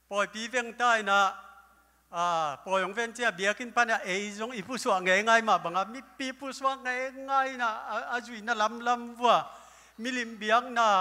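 An older male pastor preaching with a high, very bright voice into a handheld mic. His sermon carries through a church hall with a long, ringing reverb. Now and then he lifts into loud exclamations. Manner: preaching at a lectern, gesturing, with bursts of loud exclamations. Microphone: handheld microphone.

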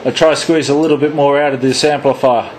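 A man's voice: medium pitch, steady tone, fast talker, darkish tone. A man working as a CB radio operator, giving showy, repetitive patter full of call signs and slang. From Australia. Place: indoors at a radio set. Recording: clearly noisy room beside a radio. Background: radio noise.

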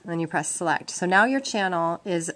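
A lower-pitched woman talks through a hands-on tutorial in a practical, conversational way. She explains each step informally. The recording is very noisy, like amateur handheld camera sound made indoors. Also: lively intonation, a little fast. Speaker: instructor demonstrating a gadget. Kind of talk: informal step-by-step how-to demonstration. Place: indoors at a wooden table. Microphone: handheld camera mic, off screen. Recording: noisy amateur camcorder sound with clicks and beeps.

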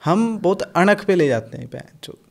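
Casting: young guy from Punjab, slightly high voice, blunt, swears a lot, lively storyteller. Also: moderate pace, creaky. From Punjab, India. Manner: blunt, animated, swears freely, mixes English in. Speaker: podcast guest, rapper. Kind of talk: casual podcast chat.

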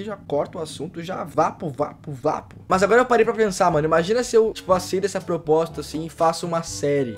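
A young man in his late teens with a Brazilian Portuguese accent, chatting casually and joking to camera with lots of slang from a small bedroom on a desk mic. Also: fairly high pitch. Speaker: YouTuber. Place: small bedroom with LED lights. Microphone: desk microphone.

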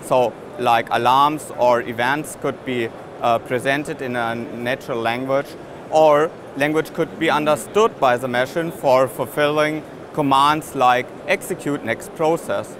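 A man's voice: mid-range voice, unhurried. A man in his thirties explaining a product in an interview at a busy exhibition hall, with some fillers. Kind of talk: trade show interview. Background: hall noise.

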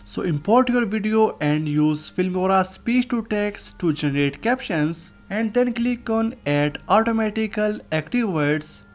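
A person narrating a scripted software tutorial as its host. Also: medium pitch, expressive, a little slow, few pauses. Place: voice-over, recorded off screen.

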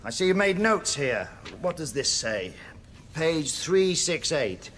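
An actor playing a zealous, pompous prison chaplain in a radio drama, with a declamatory way of preaching. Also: male voice, on the high side, sing-song, slow, a bit bright, a little hiss or hum, heavily acted. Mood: disapproving. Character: fire-and-brimstone prison chaplain, zealous, pompous, troubled by doubt.

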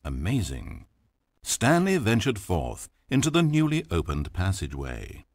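Wry video game narrator, sarcastic, grandly dramatic, very lively pitch, husky edge. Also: male voice, unhurried, heavily acted. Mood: dry. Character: wry, theatrical male narrator of a game, adult.

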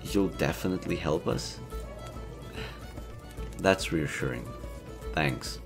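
A young man playing a playful, self-assured elven leader in a romantic audio roleplay, casual, teasing and expressive. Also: sits low, slow, gravelly, over music, exaggerated voice. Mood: warm, earnest. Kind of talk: intimate romantic roleplay acting, conversational.

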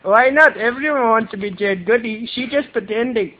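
A man's voice: extremely high pitch, fairly even intonation, voice pushed up. A man putting on a brash foreign carpet seller in broken English, making a prank phone call. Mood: pushy. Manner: insistent, rude, fast-talking hard sell. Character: pushy foreign male street trader, brash. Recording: tinny phone line.

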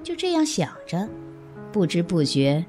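A woman's voice: on the low side, very animated, a little slow, darkish tone, faint music behind. A woman narrating an audio drama from a script, telling the story between scenes.

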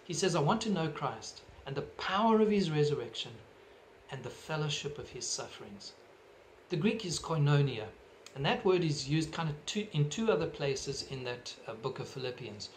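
A middle-aged man with a South African accent preaching a sermon from his living room into a webcam, earnest and measured, gesturing as he talks. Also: on the high side, expressive, slight background noise, low-quality recording. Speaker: pastor. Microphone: webcam at desk distance.

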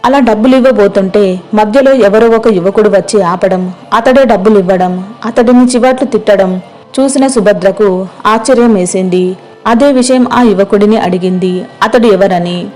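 A woman narrates a scripted moral story. Her voice is dark and soft-edged, at a medium pitch for a woman. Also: moderate pace, soft background music.

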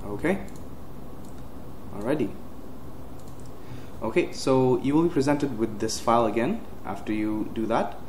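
A young man giving a casual step-by-step computer tutorial, with frequent uhs and quick self-corrections. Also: medium pitch. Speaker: tech tutorial host. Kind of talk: screen-recorded software walkthrough. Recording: roomy with faint hiss.

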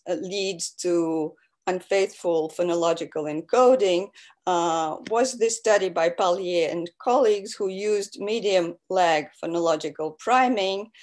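Slow, halting woman giving a lecture. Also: fairly low pitch, darkish tone. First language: Russian. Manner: hesitant, many fillers. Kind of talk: academic lecture over video call. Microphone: video call.

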